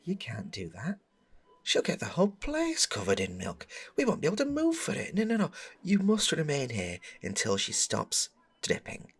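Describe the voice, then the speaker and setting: A man's voice: a little high, huge pitch swings, moderate pace, breathy edge, pitched above the performer's own voice, exaggerated voice. A man voicing a fretful store worker in a comedy audio roleplay, flustered, wordy and grandly overdramatic. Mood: panicked, apologetic.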